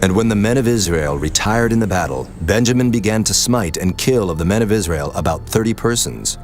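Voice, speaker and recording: Scripture narrator: man, low-pitched, solemn, measured formal read over a clear music bed. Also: animated. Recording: prominent music behind the voice.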